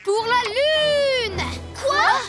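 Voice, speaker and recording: A woman's voice: extremely high pitch, extremely slow, over music, full character voice. A woman voicing a scheming boy villain genius in a French cartoon dub, gloating and theatrical.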